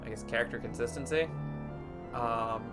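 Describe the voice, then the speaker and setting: A man's voice: mid-range voice, moderate pace, over loud music. A young man hosting a livestream with casual banter and a wry, joking, mock-grandiose manner. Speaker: livestream host.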